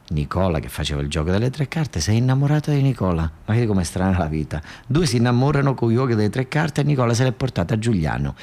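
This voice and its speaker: Deep-voiced southern Italian male storyteller. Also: a little mellow. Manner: repetitive, emphatic, addressing listeners with rhetorical questions. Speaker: solo stage storyteller and actor. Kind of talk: theatrical monologue in colloquial, spoken storytelling.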